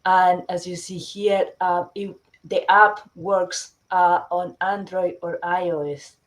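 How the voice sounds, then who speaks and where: Woman, middle-aged, from Chile, lowish voice, hesitant, fillers and restarts, webinar presenter. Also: steady tone, takes it slowly. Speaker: company founder and guest.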